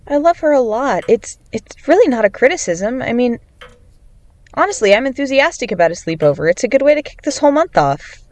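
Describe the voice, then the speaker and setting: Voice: mid-range voice, big pitch swings, fairly quick, lightly acted. An actor playing an anxious, introspective young adult in an audio drama, both narrating and in dialogue. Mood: uneasy, conflicted.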